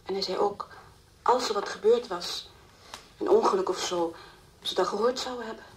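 An actress playing the anxious, distraught mother of a missing girl in a Dutch radio play, halting and repeating her words. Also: medium pitch, close to an everyday voice, poor audio. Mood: anxious, recounting. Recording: old radio play recording, faint hiss.

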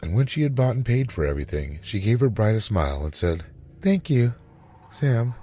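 Male narrator, low-pitched, mellow tone, expressive short story reading, dramatic delivery. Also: fast talker, slightly rough.

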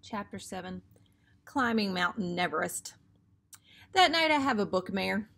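A woman's voice: medium pitch, animated, a little slow, creaky, a bit bright. A woman in her thirties reading a children's book aloud as a teacher, acting out the dialogue expressively.

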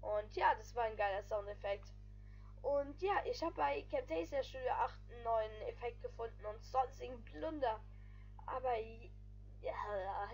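A woman's voice: a little high, darkish tone, slightly rough, poor audio. A woman giving casual, rambling voice-over commentary on her gaming video, stringing thoughts together with frequent filler phrases.

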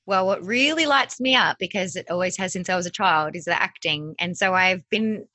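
A woman in her thirties, a TV host and actress, chatting as a podcast guest over a video call with a desk mic, bubbly and quick to laugh. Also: fairly low pitch, bright, forceful tone, no room sound. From Australia. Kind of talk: casual podcast interview. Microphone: desk condenser mic, video call.

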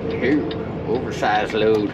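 A man's voice: on the low side, fairly even intonation, takes it slowly. A man, a truck driver, thinking aloud in short casual remarks while driving, recorded on a camera in his cab. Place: inside a truck cab on the road. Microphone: dash-mounted camera. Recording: dull lo-fi sound with loud road noise. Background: engine and road noise.

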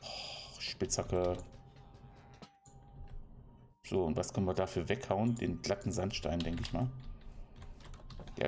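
A man's voice: lowish voice, a little mellow. A German man giving casual, playful commentary while playing a game, chatting to the animals and villagers he meets. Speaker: let's play commentator.

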